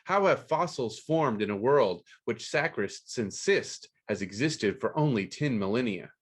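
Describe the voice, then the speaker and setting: A man's voice: medium pitch, lively intonation, measured pace. A man hosting a podcast about books. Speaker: podcast host. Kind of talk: scripted book news read aloud, then casual interview.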